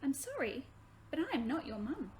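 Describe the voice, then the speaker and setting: A woman voicing a kind, reassuring young mother-to-be in a children's storybook, gentle and coaxing. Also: high voice, big pitch swings, moderate pace, a little hiss or hum. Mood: apologetic.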